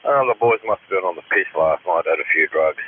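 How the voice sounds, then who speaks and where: A man's voice: low voice, quick pace. An Australian man chatting casually over two-way radio, swearing and joking in a blokey way. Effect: two-way radio transmission. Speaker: amateur radio operator. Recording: narrow-band radio, loud hiss and static. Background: radio hiss.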